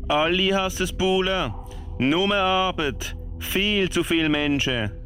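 A man's voice: high voice, slow pace, bright, forceful tone, faint music behind, heavily acted. A man acting a gloomy, sulky ghost who dismisses everything in a children's radio play. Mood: grumbling, dismissive. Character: gloomy, grumbling ghost of a cancelled scout camp.

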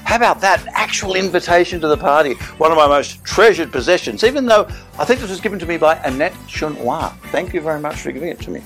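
A man's voice: fairly high pitch, animated, fast talker, fairly bright tone, faint music behind. A man hosting a film-night special, chatty and enthusiastic, with playful asides. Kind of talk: casual upbeat presenting.

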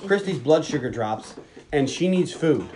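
A man's voice: fairly high pitch, animated, a little slow, warm tone, a little hiss or hum. A man with a Virginia accent, co-hosting a podcast and casually retelling a movie plot with jokes. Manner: chatty, jokey, drops into voices for characters. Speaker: podcast co-host.

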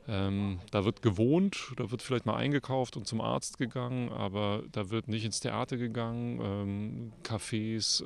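A man's voice: lowish voice, creaky. A man giving spontaneous explanations in an interview, searching for words in short phrases. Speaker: theatre director, interviewee.

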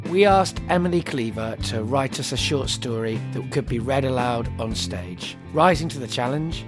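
A man presents a podcast with a scripted introduction. His voice sits at an average pitch for a man, and plucked guitar music plays clearly behind him. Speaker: podcast host.

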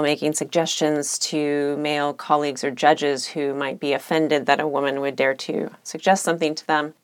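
A woman lawyer hosting a solo podcast, speaking in a reflective, measured, candid way with occasional vocal fry and uptalk. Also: low voice, no echo.

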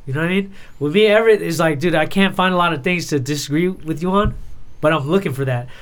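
A man's voice: a little high, quick pace. A man hosting a podcast in a loose, informal chat style, with slangy, sweary talk. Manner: informal, frequent "dude" and "you know what I mean". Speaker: podcast host.

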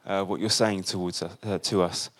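A man leading a spoken prayer in a church service, halting, with many fillers. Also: sits low, fairly even intonation, a bit bright.

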